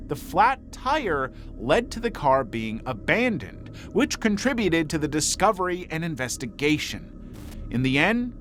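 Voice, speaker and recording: A male narrator delivers scripted true-crime storytelling in a fairly high voice. His pitch moves in a lively way through the measured documentary script, with clear music playing behind him.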